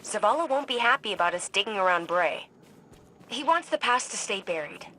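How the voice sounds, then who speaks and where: A woman's voice: medium pitch, lively intonation, a little fast, bright, forceful tone, slight background noise, rough recording. A woman playing a confident, determined scientist-adventurer in a video game, with a dry, assured delivery. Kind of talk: scripted game voice acting.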